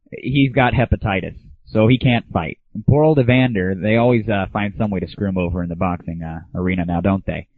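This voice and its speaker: A man hosting an internet audio show, giving casual, opinionated solo commentary with irreverent, crude humor. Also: lowish voice. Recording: thin phone-like early internet audio.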